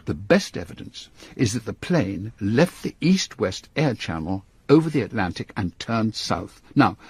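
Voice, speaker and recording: A man playing a brisk, authoritative older British intelligence chief in a radio drama, with an English accent and a clipped, commanding delivery. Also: on the low side, very animated, breathy edge, dry recording, exaggerated voice. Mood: commanding, intent.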